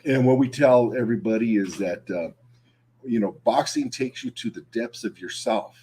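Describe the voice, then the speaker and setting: A man hosting an interview, affable and agreeable, with drawn-out fillers as he searches for words. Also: lowish voice, mellow, a little hiss or hum. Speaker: interview host, gym owner. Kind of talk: casual interview chat.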